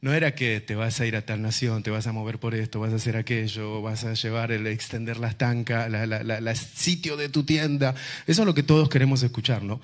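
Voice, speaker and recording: A middle-aged man from Argentina with an Argentine accent preaches through personal stories on a handheld microphone, using rhetorical questions, pauses and repetition. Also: medium pitch, fairly even intonation. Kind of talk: sermon with personal storytelling to a congregation. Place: large indoor church auditorium, on stage.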